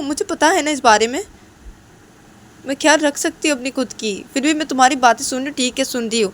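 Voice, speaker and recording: A woman's voice: mid-range voice, a little hiss or hum, close to an everyday voice. A woman voicing an irritable pregnant woman doctor who scolds insistently and repeats herself in an amateur audio story.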